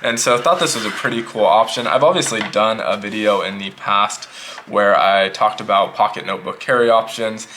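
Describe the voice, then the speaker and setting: A man casually reviewing a notebook pouch in a relaxed, rambling way, a little sick and slightly hoarse. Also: on the low side, brisk, slight background noise. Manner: relaxed, rambling, repeats himself. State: losing his voice, a bit sick. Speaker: EDC gear reviewer.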